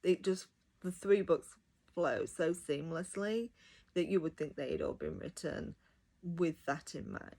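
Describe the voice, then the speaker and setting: A woman's voice: low-pitched, a little slow, vocal fry, dark tone. A woman giving a casual, enthusiastic review, talking directly to viewers. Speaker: audiobook reviewer.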